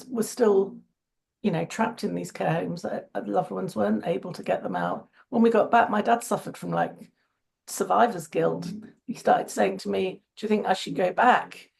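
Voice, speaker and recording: A woman speaking as an interview guest in earnest conversation, her voice croaky today and often restarting phrases. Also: medium pitch, a little mellow. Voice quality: gravelly.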